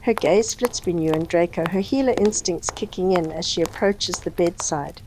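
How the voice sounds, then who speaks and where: A woman's voice: on the low side, moderate pace, background noise. A woman reading a scripted story as its narrator.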